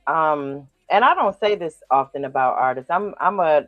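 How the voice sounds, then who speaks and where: Animated woman radio host, low voice. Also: moderate pace, no echo, phone-quality sound, soft background music. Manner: enthusiastic, emphatic questioner. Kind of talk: interview hosting.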